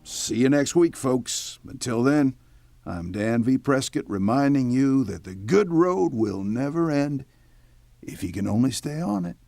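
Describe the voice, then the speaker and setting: A man narrating a radio show in a measured, folksy way. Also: mid-range voice, expressive, vocal fry, warm tone, faint music behind. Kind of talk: scripted narration.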